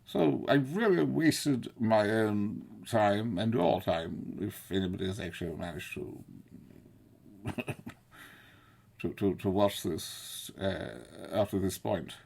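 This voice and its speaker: A man's voice: lowish voice, slow, gravelly, darkish tone, breathy edge. A man from South India, talking in a casual, digressive monologue, laughing often and swearing. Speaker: video essayist. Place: London.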